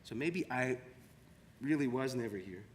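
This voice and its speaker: A middle-aged man with a Canadian accent giving a public academic lecture in a reflective, deliberate manner, repeating phrases for effect. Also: mid-range voice, lively intonation, slight background noise. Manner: reflective, rhetorical questions and deliberate repetition. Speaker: university lecturer in media studies. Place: large room at a university colloquium. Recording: echoey hall with long reverb.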